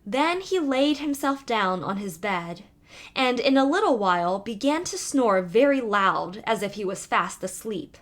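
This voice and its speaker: A woman's voice: mid-range voice, lively intonation, unhurried. A woman reading a fairy tale aloud as a storybook narrator.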